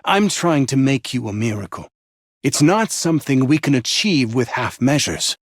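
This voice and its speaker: An actor playing an intense, manipulative mentor, theatrical and condescending, with a husky voice, in dramatic villain voicelines. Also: male voice, mid-range voice, lively intonation, normal speed, no echo, clean studio sound. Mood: urgent, demanding. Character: intense, demanding male mentor, manipulative and passive-aggressive.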